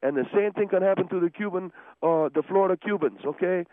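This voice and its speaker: A man's voice: sits high, fast talker, no room sound. A man calling in to a radio talk show, talking casually and rambling, often saying "man" and "you know". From United States. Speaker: caller. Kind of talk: radio call-in argument. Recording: tinny phone line, very dry.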